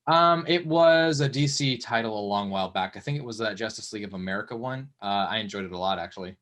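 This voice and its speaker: A man's voice: on the low side, fast talker, a bit bright. A man hosting a casual comics podcast, talking loosely with lots of ums and uhs. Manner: rambling, hesitant, many fillers. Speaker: podcast host.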